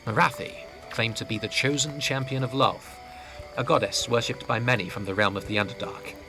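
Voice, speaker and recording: A man narrating a scripted fantasy audio drama as storyteller, with a gravelly voice. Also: mid-range voice, brisk, poor audio. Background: clear background music.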